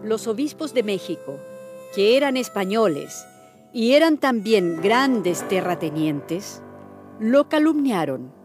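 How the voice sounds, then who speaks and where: A woman's voice: mid-range voice, big pitch swings, slow, a little mellow, faint music behind. A woman reading scripted narration for a radio drama.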